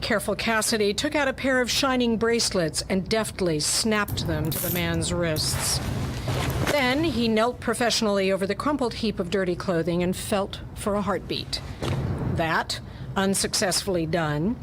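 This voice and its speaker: Woman, medium pitch, theater presenter and narrator, radio studio, slight background noise. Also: normal speed. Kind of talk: casual radio interview and story narration.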